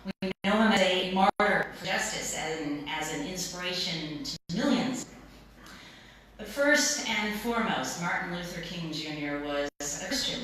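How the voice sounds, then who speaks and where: A middle-aged woman gives a conversational sermon in a very reverberant room, picked up some way from the microphone. Her voice sits a little low, and she speaks informally, like a pastor telling stories, repeating words to make a point. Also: fairly bright tone. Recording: very echoey room, distant mic, noisy.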